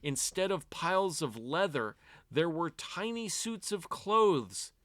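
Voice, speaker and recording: A man reading a fairy tale aloud to children as an expressive storyteller, with deliberate storybook phrasing. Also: a little high, no room sound, clean studio sound.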